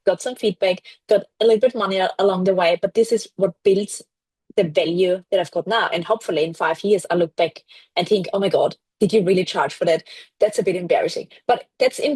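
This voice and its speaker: Woman, from Austria, English speaker, breathy tone, blunt and animated, dry sound. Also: medium pitch, a little fast, no room sound. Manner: frank, animated, laughs often. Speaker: podcast guest, brand strategist. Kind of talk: casual interview chat.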